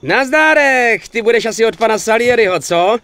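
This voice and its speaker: A man's voice: extremely high pitch, animated, sharp tone, slight background noise, exaggerated voice. A man playing a chipper, obliging garage mechanic in a video game, chatty and friendly. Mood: friendly, easygoing. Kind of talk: dubbed video game cutscene acting.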